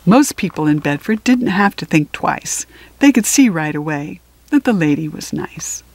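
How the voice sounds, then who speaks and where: A woman reading a children's picture book aloud with expressive phrasing and deliberate pauses. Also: sits low, gravelly. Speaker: storybook reader.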